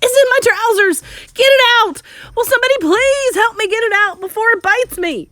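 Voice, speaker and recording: A woman voicing a frantic male cook in a comic storybook, yelling in shrill alarm. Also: normal speed, dry recording. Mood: frantic panic.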